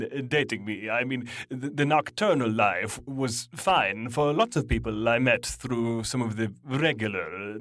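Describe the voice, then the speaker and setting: A man playing an awkward, lovestruck centuries-old vampire in a comedy audio drama, speaking bashfully with halting stammers. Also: medium pitch, a bit bright, dry recording. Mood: sheepish, rueful. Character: lovestruck, awkward centuries-old male vampire telling his dating story. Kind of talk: mock dating testimonial in comedy audio drama.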